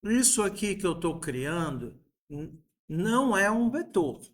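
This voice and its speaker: A man's voice: sits high, sing-song, takes it slowly. A man, teaching a programming lesson and patiently asking his students rhetorical questions. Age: 40s. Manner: patient, asks the class rhetorical questions, uses diminutives. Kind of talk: coding lesson screencast.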